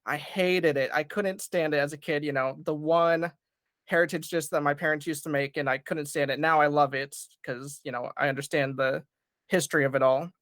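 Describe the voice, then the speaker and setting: A panel guest chatting casually and warmly about home food, with many fillers. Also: medium pitch, fairly even intonation, moderate pace, no room sound, studio quality. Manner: friendly and talkative, many fillers.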